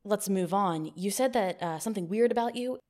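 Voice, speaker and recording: A woman podcast host running a mock-stern job interview in a deadpan manner, recorded in an echoey new studio. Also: medium pitch, brisk.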